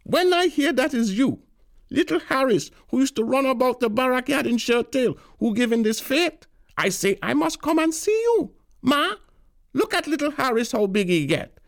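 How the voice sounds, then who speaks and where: A man voicing a loud, bossy elderly island woman who scolds and shrieks. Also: big pitch swings, normal speed, creaky, heavily acted. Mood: loud delighted. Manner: shrill, brash, scolding.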